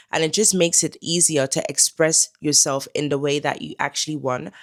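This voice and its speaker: Young woman giving advice. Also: low voice. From Netherlands. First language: Dutch. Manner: calm and instructive, advising the viewer directly. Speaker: lifestyle vlogger. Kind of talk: advice vlog talking to camera.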